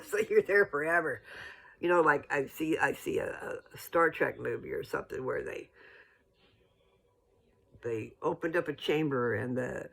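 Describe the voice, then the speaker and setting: Gravelly, husky voice in folksy devotional talk. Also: mid-range voice, a bit bright. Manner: folksy, earnest, digressive. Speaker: religious video host. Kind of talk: rambling informal devotional talk.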